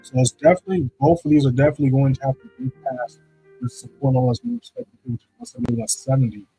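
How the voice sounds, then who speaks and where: A man with a deep voice hosting a live trading stream, talking casually through charts and often checking in with "right?". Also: lively intonation, quick pace, dark tone, breathy edge, faint music behind, rough recording. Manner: loose, repeats "right?" to check in with viewers. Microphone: streaming setup microphone.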